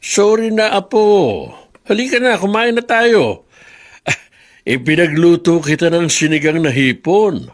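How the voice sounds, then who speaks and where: A man's voice: on the high side, lively intonation, unhurried. An older man with a Filipino accent acting a scheming, controlling grandfather in a radio drama. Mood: coaxing.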